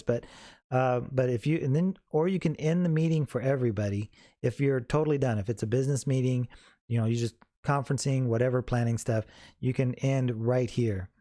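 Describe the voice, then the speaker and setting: A man giving a casual, unscripted software tutorial in an informal, easygoing way. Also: medium pitch, no room sound, clean studio sound. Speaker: host of a how-to tutorial.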